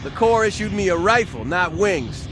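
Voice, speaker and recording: A skeptical, wisecracking marine from a video game. His voice is very high and swings in pitch, sardonic over clear windy noise. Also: lively intonation, moderate pace. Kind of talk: video game cutscene acting.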